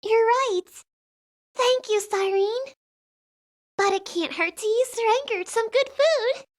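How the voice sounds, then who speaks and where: A woman's voice: extremely high pitch, takes it slowly. A woman playing an earnest, affectionate, sheltered young princess with a girlish manner in a video game fandub. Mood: hopeful, shy.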